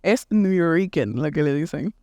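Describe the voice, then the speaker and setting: A woman's voice: low voice, lively intonation, measured pace, warm tone, dry recording, clean studio sound. A young woman from Puerto Rico with a Puerto Rican accent, a podcast guest chatting casually, full of fillers and English words dropped in. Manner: chatty, uses fillers and drops English words into her Spanish. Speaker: podcast guest, church worship resident.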